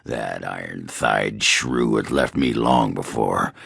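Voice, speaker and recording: An actor playing a gruff, crude, boozy male dwarf warrior in a video game, with a raspy growl and a coarse, blustering, grumbling manner. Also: very deep voice, takes it slowly, a bit bright, dry recording, studio quality, exaggerated voice. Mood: indignant. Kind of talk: video game character dialogue.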